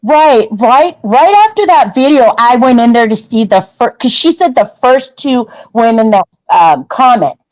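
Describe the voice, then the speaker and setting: A woman's voice: a little high, lively intonation, measured pace, hardly any pauses, a little mellow, dry recording, poor audio. Blunt, cursing woman. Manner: blunt, profane, ranting; reads viewer comments aloud. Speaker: livestream co-host. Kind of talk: casual gossip chat.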